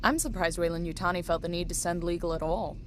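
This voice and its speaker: A woman's voice: on the low side, fairly even intonation, fast talker, a little hiss or hum, close to an everyday voice. An actress playing a determined, wary woman on a space station in a sci-fi video game. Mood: calm.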